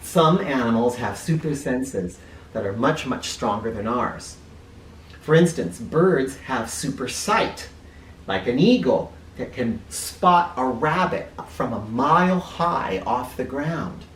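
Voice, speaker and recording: A man reads aloud to children in a roomy space, a little far from the mic. His delivery is very lively in pitch and playful, with explanations and questions aimed at young listeners. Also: slow. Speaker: children's book reader and teacher. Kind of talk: animated read-aloud with asides for children. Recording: roomy, distant mic, faint noise.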